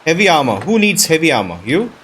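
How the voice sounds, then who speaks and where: A man's voice: a little high, sing-song, quick pace, fairly bright tone, a little hiss or hum. A man giving casual let's-play commentary as a gaming host, thinking aloud in short questions. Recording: music behind the voice. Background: game music.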